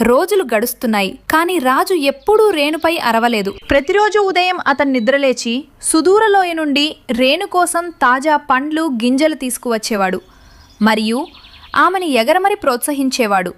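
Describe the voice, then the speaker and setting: A woman's voice: high-pitched, lively intonation, moderate pace. A woman giving scripted narration as the storyteller of a tale.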